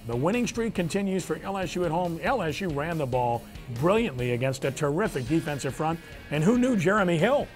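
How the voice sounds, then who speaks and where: A man's voice: fairly high pitch, big pitch swings, brisk, faint music behind. A man hosting a sports talk show, delivering commentary and discussion like an anchor. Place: on location at a restaurant.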